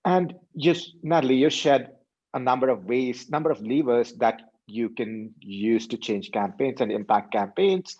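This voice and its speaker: A man's voice: medium pitch, unhurried, a little mellow, husky. A man hosting an interview over a video call, asking questions and recapping his guest's points with frequent affirmations. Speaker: host. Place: indoor room by a window. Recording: slightly dull video call audio.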